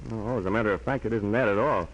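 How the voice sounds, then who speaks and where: Hesitant, low-voiced young American man played by an actor. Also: fast talker, muffled recording, lightly acted. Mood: dejected, confessing. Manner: hesitant, stammering. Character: self-doubting, lovestruck kid brother of a businessman. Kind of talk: 1940s American radio drama acting. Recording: noisy old 1940s radio recording.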